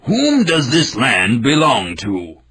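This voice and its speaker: A proud, curious storybook king who asks questions in a stately way and speaks slowly, as a male narrator puts him on. His delivery is lively and rises and falls. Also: medium pitch, slightly rough, pitched above the performer's own voice, heavily acted.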